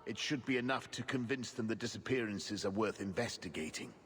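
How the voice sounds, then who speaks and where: An actor playing a sombre, resigned investigator with a measured, formal delivery in a video game. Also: male voice, fairly low pitch, animated, fairly bright tone, in a large hall, faint music behind.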